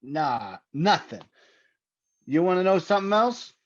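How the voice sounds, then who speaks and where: An actor playing a cynical, streetwise suspect in a 1950s-style radio crime parody, defiant, sneering and slangy. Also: male voice, high voice, sing-song, a little slow, highs cut off. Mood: grudging, stubborn. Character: cocky, cynical male suspect, streetwise grumbler.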